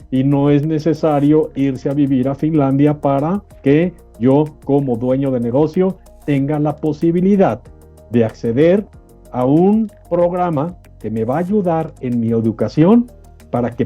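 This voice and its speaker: Male panel host, rhetorical questioner, business talk, faint music behind, lo-fi sound. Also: medium pitch. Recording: dull lo-fi audio, music behind.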